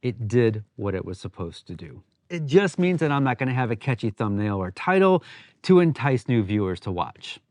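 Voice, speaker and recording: A man's voice: mid-range voice, big pitch swings, moderate pace, darkish tone, dry recording. A man hosting a woodworking vlog, explaining things in an informal, plain-spoken way. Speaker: host of a woodworking channel. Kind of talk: casual workshop vlog narration.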